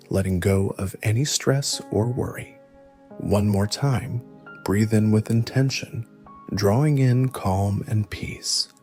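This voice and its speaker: A man narrating a scripted guided meditation, giving gentle instructions in a soothing, measured way. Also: on the low side.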